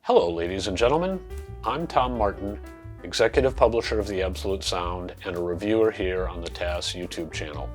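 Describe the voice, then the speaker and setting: A man's voice: low-pitched, animated, brisk, faint music behind. A man, a magazine publisher, delivering a scripted promotional announcement to viewers. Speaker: magazine publisher, channel presenter.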